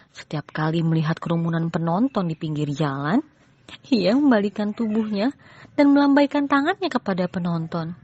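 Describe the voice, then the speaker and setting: A woman's voice: mid-range voice, husky, muffled recording. A woman narrating a scripted children's story as a storyteller.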